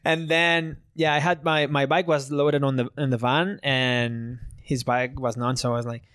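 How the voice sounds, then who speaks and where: A man's voice: mid-range voice, flat delivery, moderate pace, fairly bright tone, clear, resonant tone, no room sound, studio quality. A man in his thirties from Spain, an English speaker, telling stories in a casual interview with many restarts. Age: 30s. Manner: chatty storytelling with many restarts and laughs. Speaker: freestyle motocross rider, guest.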